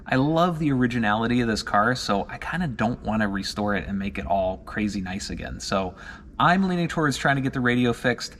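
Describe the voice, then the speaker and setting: A man's voice: medium pitch, animated, fairly quick, fairly bright tone, a little hiss or hum. A man narrating a hands-on vlog in an informal way, thinking aloud as he examines things. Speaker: car owner and vlogger.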